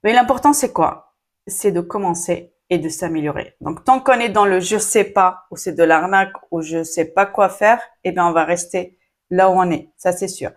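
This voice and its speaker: A woman's voice: fairly low pitch, normal speed. A woman giving casual online-business advice straight to her viewers, chatty and full of fillers, with a hint of an Arabic first language. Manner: chatty, frequent fillers, addresses viewers directly. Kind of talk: casual talking-to-camera advice.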